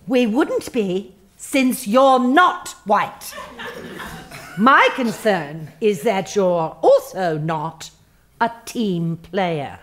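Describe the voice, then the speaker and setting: A woman playing a smooth, manipulative HR executive in a satirical audio drama, cajoling and emphatic with corporate positivity. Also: mid-range voice, lively intonation, extremely slow. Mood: dismissive, firm. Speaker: actor.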